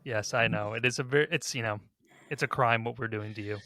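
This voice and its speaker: Male podcast host, casual discussion, crisp bright edge, very dry sound. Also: medium pitch, a little fast, no echo.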